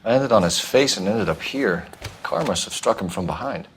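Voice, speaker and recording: An actor playing a businesslike, determined police detective in a video game, with a clipped, authoritative manner. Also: male voice, fairly low pitch, lively intonation, normal speed, background noise, lightly acted. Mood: brisk, businesslike.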